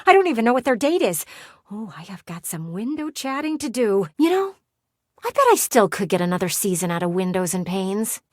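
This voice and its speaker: Gossipy, animated talking window. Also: female voice, mid-range voice, fairly quick, dry recording, clean studio sound. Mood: scheming, excited. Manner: conspiratorial, theatrical storytelling with teasing asides. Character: gossipy, dramatic talking house window, female, nosy and sassy. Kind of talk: video game voice acting.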